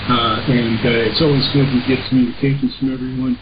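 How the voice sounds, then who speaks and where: A man hosting an informal shortwave radio broadcast, reading announcements in a halting way with frequent uhs and pauses. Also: medium pitch, level tone. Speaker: radio host. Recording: hissy, muffled shortwave radio reception.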